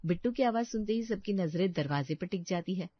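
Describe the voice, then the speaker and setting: A woman's voice: medium pitch, expressive, brisk, dry recording, dull audio. A woman narrating a scripted story.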